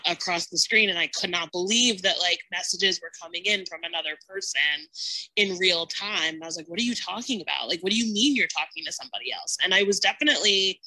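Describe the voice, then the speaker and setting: A woman's voice: lowish voice, sharp tone, rough recording. A woman chatting casually on a podcast panel, effusive and rambling, peppering her sentences with "like". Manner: effusive, rambling, heavy use of "like". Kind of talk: casual podcast chat about a TV show.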